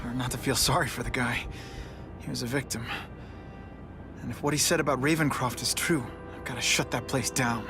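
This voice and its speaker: An actor playing an earnest, quippy young masked superhero in a video game cutscene, speaking conversationally with loud music over him. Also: male voice, medium pitch, fairly even intonation, fairly quick, lightly acted. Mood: reflective resolve.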